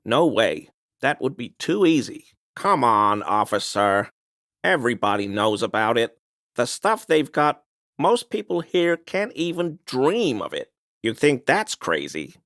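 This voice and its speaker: A man's voice: mid-range voice, very animated, measured pace, a bit bright, no room sound, clean studio sound. An actor playing a smug, conspiracy-minded man in a video game, speaking in an insistent, knowing, insinuating way. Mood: dismissive, smug. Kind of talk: video game dialogue voice lines.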